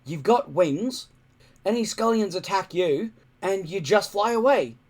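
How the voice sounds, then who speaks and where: A man's voice: high-pitched, expressive, measured pace, a little mellow, pitched above the performer's own voice. A man playing a young Viking boy from a storybook, talking in short, firm, exasperated phrases. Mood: reassuring.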